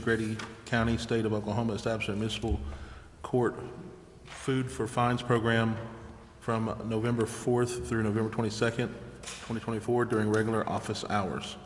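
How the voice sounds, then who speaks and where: An American man chairing a formal city council meeting as its presiding officer. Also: mid-range voice. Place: council chamber. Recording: echoey hall, faint background noise.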